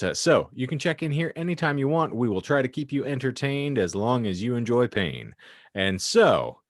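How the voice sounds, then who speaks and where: A man's voice: mid-range voice, dry recording, studio quality. A man co-hosting a podcast with casual comedic banter, speaking in florid, theatrical, wordy phrases. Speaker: podcast co-host.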